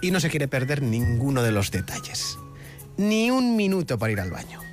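Mid-pitched man presenting over music. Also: sing-song, normal speed. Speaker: show presenter. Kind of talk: light magazine-show presenting.

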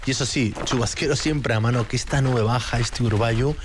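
A man from Bilbao presenting a travel show, chatting in a lively way while walking an outdoor trail. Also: medium pitch, a little fast, background noise. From Bilbao, Spain. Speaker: host.

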